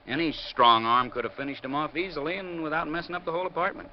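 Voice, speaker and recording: An actor playing a gruff, chummy police detective in 1950s American radio drama, colloquial and teasing. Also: male voice, on the high side, fairly even intonation, fast, lightly acted. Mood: teasing, blunt. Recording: muffled old radio recording with noise.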